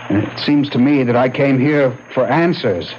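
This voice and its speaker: An actor playing a bewildered, haunted man in a 1970s radio drama, halting and repeating himself in agitation. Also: mid-range voice, a little mellow, lightly acted. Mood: troubled. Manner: hesitant, agitated, halting repetitions. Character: troubled, bewildered ordinary man haunted by past life. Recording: muffled, noisy 1970s radio broadcast.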